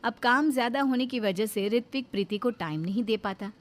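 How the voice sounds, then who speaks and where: A woman's voice: on the high side, a little fast. A woman reading scripted narration for a cartoon story.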